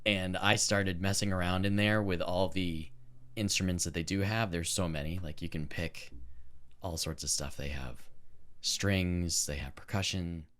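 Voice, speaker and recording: A man's voice: sits low, steady tone, normal speed, a bit bright, smooth. A man co-hosting a podcast, talking casually and informally with plenty of enthusiasm. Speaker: podcast co-host and amateur theme music maker. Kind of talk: casual chat.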